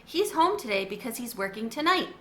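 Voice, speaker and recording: A woman's voice: on the high side, very animated, brisk, a bit bright, a little hiss or hum. A woman voicing a responsible schoolboy who speaks earnestly to his little brother. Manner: earnest, instructive. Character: responsible older schoolboy, careful big brother.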